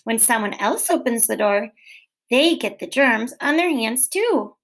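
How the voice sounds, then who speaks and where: A woman teacher reading a children's book aloud in a gentle, clear, expressive way, posing questions to young listeners. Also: a little high, measured pace. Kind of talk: read-aloud storytelling for children.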